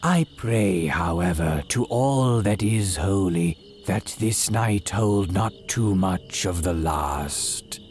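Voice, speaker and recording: Casting: sinister, humble old priest of a haunted cemetery, low and very drawn-out, old-time radio horror style. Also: male voice, animated, a little mellow, a little hiss or hum. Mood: ominous welcome. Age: older. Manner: archaic, solemn, drawn-out dramatic delivery. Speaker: horror storyteller.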